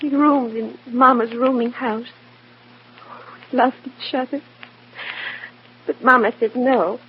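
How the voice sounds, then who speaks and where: An actress playing a timid, grieving young widow, fragile and pleading, in mid-century radio drama style. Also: female voice, fairly high pitch, steady tone, slow pace. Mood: wistful. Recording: muffled, noisy old radio recording.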